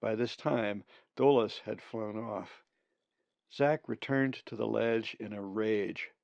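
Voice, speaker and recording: Gravelly, unhurried male storyteller. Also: lowish voice, a little mellow, no echo, muffled recording. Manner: measured storyteller delivery. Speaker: author reading own book. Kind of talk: scripted audiobook narration.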